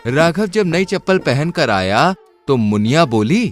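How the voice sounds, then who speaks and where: A man narrates a moral cartoon story in a steady, scripted storytelling manner. His voice sits a little high for a man, with faint music underneath. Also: unhurried.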